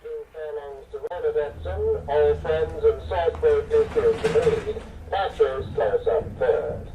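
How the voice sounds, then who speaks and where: An actor playing an urgent male horse-racing commentator heard through a tinny loudspeaker in a radio drama. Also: a little high, huge pitch swings, unhurried, heavily acted. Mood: urgent, excited. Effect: tinny loudspeaker relay. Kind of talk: race commentary. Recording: tinny distant speaker, faint noise.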